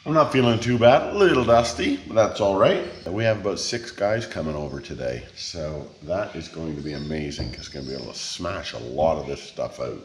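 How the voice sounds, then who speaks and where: An Australian man in his fifties with a hoarse, froggy voice hosts a relaxed workshop vlog about restoring an old 4WD. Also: extremely low pitch, brisk, hardly any pauses, reverberant. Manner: laid-back, jokey, calls everyone mate. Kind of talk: casual workshop vlog chat. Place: home garage workshop. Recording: noisy workshop sound.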